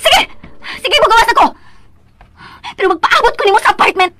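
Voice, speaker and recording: Jealous female schemer, venomous, melodramatic radio drama, very high pitch, swooping intonation. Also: expressive, fairly quick, heavy background noise. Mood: furious, confrontational. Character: scheming, furious jealous woman plotting against a couple.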